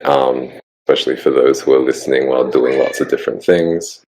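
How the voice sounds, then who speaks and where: A man with an Australian accent hosting a casual online group chat, musing in a relaxed way with frequent ums. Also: a bass voice, a little fast, slight background noise, low-quality recording. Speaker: podcast host.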